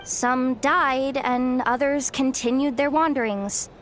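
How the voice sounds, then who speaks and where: A woman's voice: high-pitched, steady tone, slow, soft background music. A woman voicing an aloof, world-weary hired underworld operative in an anime-style video game, blunt, nonchalant and cynical.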